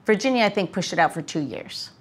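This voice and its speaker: A woman's voice: lowish voice, expressive, fast talker, husky. An American woman hosting a podcast, chatting casually and asking questions. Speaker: podcast host.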